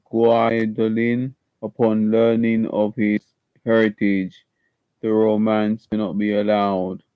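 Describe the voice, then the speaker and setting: A man's voice: lowish voice, warm tone, no room sound, poor audio. A man with a slight Jamaican accent, chatting casually on a live stream and reading instructions aloud, often repeating and restarting his words. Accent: Jamaican-tinged. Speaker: livestreamer preparing an acting audition. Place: at home, seated.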